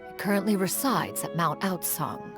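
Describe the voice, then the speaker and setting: A woman voicing a poised, composed immortal adept who speaks in a calm, formal, reassuring way. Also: lowish voice, moderate pace, over music.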